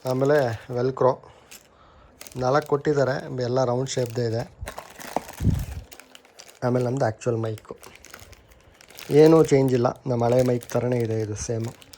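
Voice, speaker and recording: A man's voice: medium pitch, steady tone, unhurried, long pauses, background noise. A young man with a Kannada accent giving a casual, chatty review of a helmet intercom, mixing in English words as he goes. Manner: chatty, mixes English words into his talk, self-corrects. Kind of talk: casual product review and unboxing.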